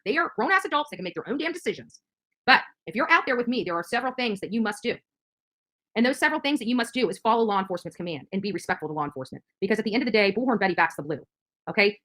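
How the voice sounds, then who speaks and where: A woman's voice: fairly high pitch, sharp tone. A middle-aged woman giving an angry, confrontational rant straight to the camera, indignant and repeating her points. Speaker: activist streamer.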